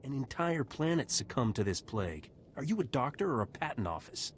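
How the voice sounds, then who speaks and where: An actor playing a stern, principled soldier hero in a video game, direct and challenging. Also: male voice, mid-range voice, sing-song, brisk, a little hiss or hum, natural voice.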